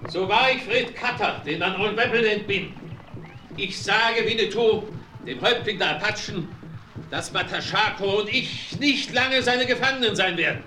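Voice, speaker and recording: An actor playing a ninety-year-old frontier villain in a radio play, defiant and sneering. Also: male voice, high voice, moderate pace, pushed and bright, soft background music, rough recording. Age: older. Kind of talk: 1970s radio play acting.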